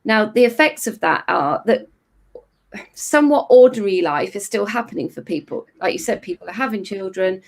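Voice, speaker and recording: Woman, middle-aged, croaky, interview guest, explanatory, thoughtful restarts. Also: medium pitch, expressive, normal speed. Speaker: guest therapist.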